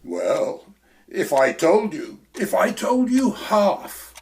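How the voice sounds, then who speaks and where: An actor playing a smooth, dangerous fat villain who drawls and chuckles pompously in an amateur audio play. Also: male voice, high voice, wildly swooping pitch, slow, a little mellow, full character voice. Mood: smooth menace.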